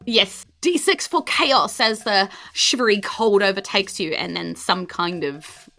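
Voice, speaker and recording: A woman's voice: medium pitch, a little slow, vocal fry, pushed and bright. A woman, narrating a tabletop game as game master in an informal way. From New Zealand.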